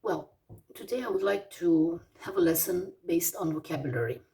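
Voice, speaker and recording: Woman in her forties, Romanian accent, low creaky voice, slow hesitant teacher, slightly distant mic. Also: animated, warm tone. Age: 40s. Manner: hesitant, many fillers, lists words one by one. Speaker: English teacher. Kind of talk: slow vocabulary lesson.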